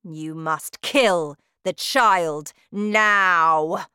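Woman acting a slow, imperious, cruel witch leader. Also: on the low side, pushed and bright, smooth, no room sound, studio quality, exaggerated voice. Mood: menacing, commanding. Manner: commanding, drawn-out, menacing delivery. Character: imperious, cruel Grand High Witch barking orders at underlings.

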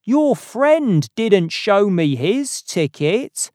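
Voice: medium pitch, very animated, slow, darkish tone, clean tone, no room sound, clean studio sound, voice pushed up. A narrator voicing a polite ticket man in a storybook, calling out to passengers. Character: apologetic male ticket man at a port.

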